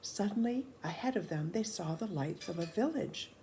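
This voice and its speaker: Woman storyteller, expressive children's read-aloud, lively swooping pitch, faint background noise. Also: poor audio. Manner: animated, deliberate storytelling for children.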